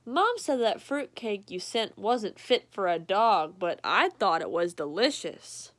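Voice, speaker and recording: A woman's voice: medium pitch, sing-song, a little slow, fairly bright tone. A woman voicing a bossy, chatty family dog in a picture book, cheeky and emphatic. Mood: blunt.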